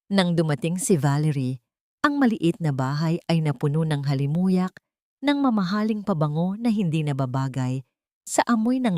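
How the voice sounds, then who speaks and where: Low-pitched female storyteller, very dry sound. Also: mellow, dry recording. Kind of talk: scripted dramatic narration.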